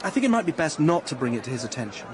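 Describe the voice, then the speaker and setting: An actor playing an evasive, socially ambitious young staff officer in a radio drama, affable but condescending. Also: male voice, fairly high pitch, sing-song, very fast. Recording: busy crowd and street noise behind.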